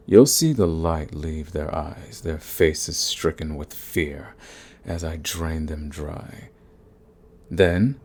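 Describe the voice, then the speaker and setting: Man acting a deep, slow, menacing vampire lord. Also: a little mellow, heavily acted. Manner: menacing, silky, taunting, formal and deliberate. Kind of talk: ASMR roleplay audio drama.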